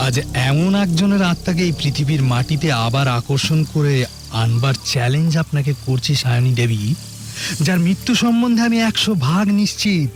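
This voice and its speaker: A man's voice: on the high side, lively intonation, normal speed, close to an everyday voice. An actor playing an older, imperious hunter haunted by his past, speaking in an emphatic, theatrical audio drama style. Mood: brooding, challenging. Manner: theatrical, emphatic, repeats phrases for effect. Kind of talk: melodramatic Bengali audio drama acting. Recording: dramatic music behind the voice.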